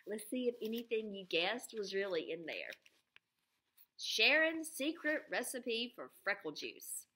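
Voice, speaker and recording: A woman reading a storybook to children like a teacher, expressively, pausing to explain simply and ask the listeners questions. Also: a little high, big pitch swings, bright, forceful tone.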